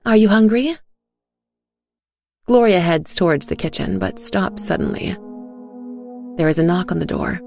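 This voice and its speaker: A woman storyteller tells a dark fairy tale in the first person, with a low, gravelly voice. Her delivery is quiet and worn. Music plays clearly beneath her. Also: big pitch swings, normal speed. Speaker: narrator. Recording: music under the narration.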